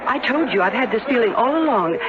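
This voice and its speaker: An actress playing a suspicious, insistent, sharp-witted wife aboard a ship in a 1970s radio drama, dramatic and wry. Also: female voice, on the high side, animated, fast, natural voice. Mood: ominous. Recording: muffled, very noisy old radio recording.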